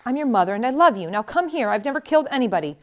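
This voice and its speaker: A woman's voice: a little high, expressive, rapid-fire, lightly acted. A woman voicing a young storybook queen, earnest and imploring, with an insistent streak.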